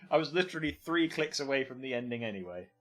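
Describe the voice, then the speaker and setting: A man's voice: mid-range voice, lively intonation, fast talker, fairly bright tone. A man streaming and commenting casually on games, with offhand, meandering remarks. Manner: offhand, meandering, muttering asides.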